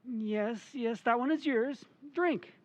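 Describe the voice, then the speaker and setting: An actor playing a henpecked, scheming husband in an old-time radio horror play, falsely sweet, anxious and stammering. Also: male voice, very high-pitched, slow. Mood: nervous.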